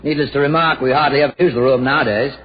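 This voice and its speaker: An actor playing a polite, well-bred lord of the manor in 1940s radio style, courteous and a little hesitant. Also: male voice, medium pitch, steady tone, rapid-fire, lightly acted. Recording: noisy, muffled 1940s radio recording.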